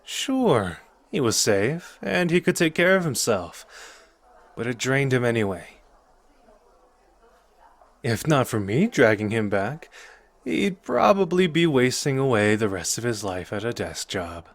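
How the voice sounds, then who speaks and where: A male actor plays a cocky young sorcerer in an intimate roleplay. His pitch moves freely as he teases, with an earnest, protective streak underneath. The sound is close and dry. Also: very animated, unhurried, pauses often, no room sound. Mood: earnest, persuading. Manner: casual, teasing, intimate and conversational. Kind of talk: ASMR roleplay acting addressed to the listener.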